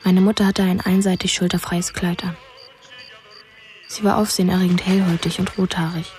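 A woman's voice: fairly low pitch, a little mellow, lightly acted. A woman playing a detached young boy who recalls his childhood in plain-spoken narration for a radio drama. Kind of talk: literary first-person radio drama narration.

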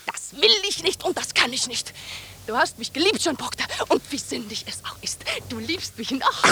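A woman's voice: sits high, pushed and bright, exaggerated voice. An actress playing a passionate, scheming seventeen-year-old servant girl, intense and pleading, in 1950s German radio drama style. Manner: intense, pleading, swinging between murmur and outcry. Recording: 1950s radio recording, faint noise.

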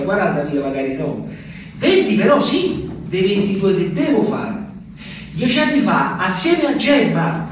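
An actor playing a lonely old peasant man, musing aloud to the moon in a theatrical monologue. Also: high voice. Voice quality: musing, talks to the moon, rambling. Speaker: stage actor. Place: theatre stage. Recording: distant stage recording with reverb and faint noise.

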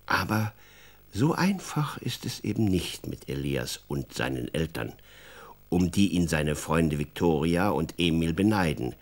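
Deep, very animated male storyteller. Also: unhurried. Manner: addresses young listeners directly, storytelling. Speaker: narrator. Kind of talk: scripted children's story narration.

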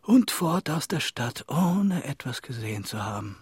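An actor in a German radio play playing a meek, put-upon traveller, timid and resigned. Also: male voice, mid-range voice, very animated, a little slow, darkish tone, slightly rough, heavily acted. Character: meek, put-upon male traveller telling his misfortunes.

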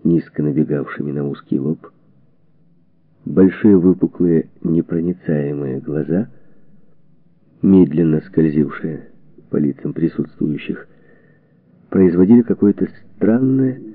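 Male audiobook narrator for history: gravelly, creaky edge, expressive measured phrasing, very dry narrow-band sound. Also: sits low, no echo. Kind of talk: scripted narration of a historical book.

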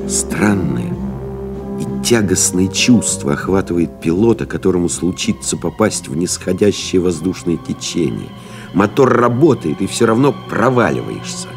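An actor playing a pilot who recalls a lost comrade, reading solemnly, expressively and in measured literary phrases. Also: male voice, low-pitched, very animated, creaky, exaggerated voice. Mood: solemn, reflective. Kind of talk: dramatic literary reading in an audio play. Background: music behind the voice.

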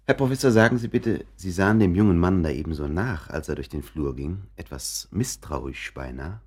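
A man's voice: low-pitched, expressive, moderate pace, a little mellow, slightly rough. A man playing a chatty, confiding narrator in a radio play, musing and interrupting himself with asides. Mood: politely curious. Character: chatty, confiding male narrator showing listeners around an apartment.

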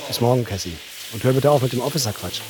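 An actor playing a breezy, informal security officer in a science-fiction radio drama. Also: male voice, medium pitch, lightly acted. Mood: casual, brisk. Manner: quick, casual, teasing. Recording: busy, loud crowd background.

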